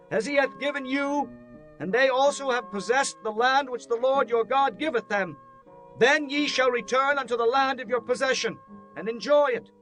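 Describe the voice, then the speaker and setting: An actor playing a commanding leader of ancient Israel, declaiming in a dramatized scripture reading. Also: male voice, very high voice, a bit bright.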